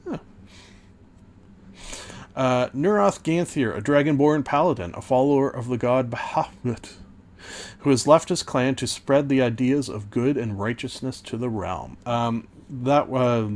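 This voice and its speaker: A man hosting a podcast, casually recapping an old tabletop campaign, rambling with many fillers and self-corrections, and a little hoarse. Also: medium pitch, darkish tone. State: losing his voice. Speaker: podcast host and game master.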